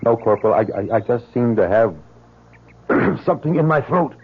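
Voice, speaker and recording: An actor playing an ailing, urgent spy who poses as a doctor, with halting, breathless, repetitive speech in old-time radio drama style. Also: male voice, mid-range voice, animated, slightly rough. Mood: nervous, evasive. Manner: halting, breathless, repeating words. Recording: muffled noisy old radio recording.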